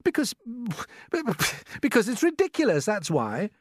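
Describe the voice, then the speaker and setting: A man's voice: high-pitched, huge pitch swings, unhurried, a little mellow, no echo, clean studio sound, voice pushed up, full character voice. Man voicing a polite, wondering small boy. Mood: puzzled, incredulous. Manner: earnest, wondering. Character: small boy, polite, curious, wide-eyed. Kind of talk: storybook character voice.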